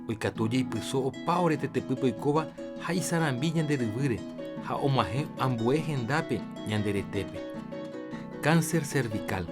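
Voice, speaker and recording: Paraguayan male presenter over guitar music. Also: medium pitch. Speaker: health programme presenter. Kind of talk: scripted educational narration in Jopara.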